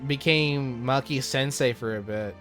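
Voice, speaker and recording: A man's voice: medium pitch, measured pace, fairly bright tone, faint music behind. A man hosting a casual ranking chat, thinking aloud and repeating words as he decides. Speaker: host. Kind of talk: casual discussion ranking anime characters.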